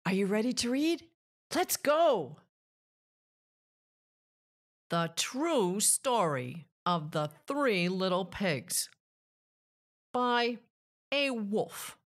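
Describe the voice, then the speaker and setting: A woman in her sixties hosts a children's read-aloud. Her pitch moves in lively swings around a medium register, and she addresses young listeners directly, upbeat and close on the mic. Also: slow pace. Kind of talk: upbeat picture book read-aloud presenting. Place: small indoor room with bookshelves. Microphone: close boom-arm studio microphone.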